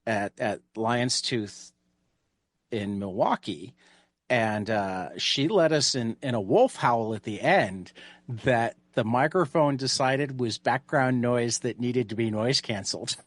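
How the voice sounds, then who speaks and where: A man telling anecdotes in casual co-host chat, halting and repeating words as he starts. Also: medium pitch, no room sound. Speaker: podcast co-host.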